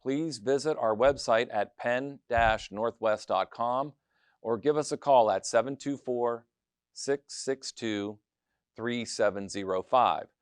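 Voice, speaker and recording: Fifties guy hosting a local business show, dry close studio mic, chatty, lots of quick okays. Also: fairly low pitch, clear, resonant tone, no room sound. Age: 50s. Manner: friendly, chatty with quick acknowledgments. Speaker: host. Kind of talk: scripted intro then casual interview. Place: college TV studio.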